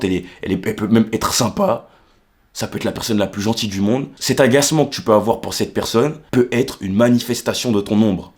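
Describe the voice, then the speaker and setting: This is a young man with a somewhat low voice. He explains things like a vlogger talking straight to the viewer. His speech is casual and colloquial, and he keeps checking that you are following him. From Martinique. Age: young adult.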